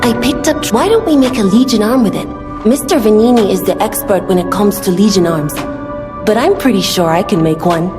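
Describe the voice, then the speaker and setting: Game role: woman as practical young female tinkerer, mid-pitched, matter-of-fact, long reverb, music behind. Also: brisk, natural voice. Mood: helpful, upbeat. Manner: earnest, conversational, matter-of-fact. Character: kindly, practical young woman weapons craftswoman. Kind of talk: video game dialogue acting.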